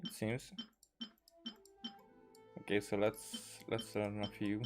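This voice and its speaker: A man with a fairly low voice talks through a video game casually. He is hesitant and halting, thinking out loud as he plays. Game music plays clearly behind him. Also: sing-song. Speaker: gaming video creator. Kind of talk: casual gameplay commentary.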